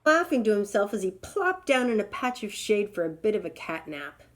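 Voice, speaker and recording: A woman's voice: medium pitch, very animated, normal speed. A woman storyteller reading a children's tale aloud in a dramatic, emphatic way.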